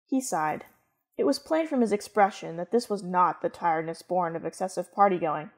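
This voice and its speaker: A woman's voice: on the low side, moderate pace. A woman reading scripted story narration in the manner of a radio play.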